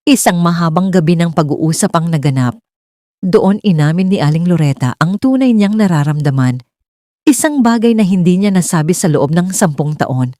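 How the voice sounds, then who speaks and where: A woman's voice: on the low side, animated, normal speed, mellow, no echo, studio quality. A woman narrating a scripted romance story in a dramatic storytelling style.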